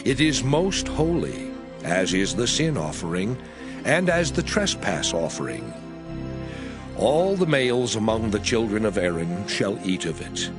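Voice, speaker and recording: Solemn man reading scripture with lively intonation. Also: on the low side, music in the background. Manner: solemn, measured, declamatory. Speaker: scripture reader.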